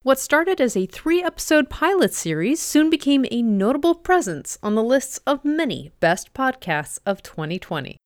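A woman's voice: sits high, sing-song, normal speed, hardly any pauses, no room sound, studio quality. A woman hosting a podcast, reading a scripted introduction to a story. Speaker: podcast host introducing stories.

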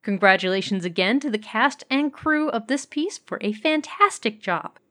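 A woman's voice: a little high, expressive, normal speed, sharp tone. A woman hosting an audio drama show, delivering a scripted radio sign-off and announcements.

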